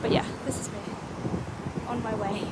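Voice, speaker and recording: A woman's voice: a little high, normal speed. A young woman chatting casually into a handheld phone as she walks down the street, with plenty of fillers. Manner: chatty, filler-heavy, walking while talking. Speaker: vlogger. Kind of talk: casual vlog chat. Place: outdoors on a street. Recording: phone vlog with street noise.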